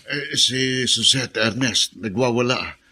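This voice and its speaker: A man's voice: mid-range voice, slow, bright, forceful tone, a little hiss or hum. An actor in a Filipino radio drama playing a hesitant, worried male servant. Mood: uneasy.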